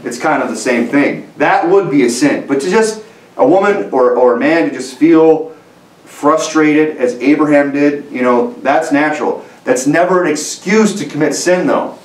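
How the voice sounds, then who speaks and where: A man's voice: on the high side, normal speed. A man in his thirties preaching a sermon in a small church room, earnest and repetitive, often restarting his phrases. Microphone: camera mic from a distance. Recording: roomy sound from a distant mic.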